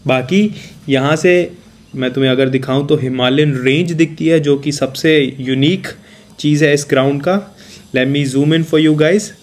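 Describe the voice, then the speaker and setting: A man's voice: a little high, a little slow. A man walking viewers through an outdoor cricket stadium, explaining things casually in a mix of Hindi and English. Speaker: cricket vlogger giving a stadium tour. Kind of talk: walking tour vlog, mixing Hindi and English.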